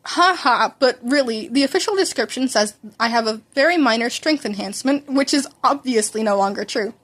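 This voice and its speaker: A woman voicing an excitable, polite teenage boy hero-in-training who rambles and stammers, in a story reading. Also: a little high, brisk. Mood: chatty, thoughtful.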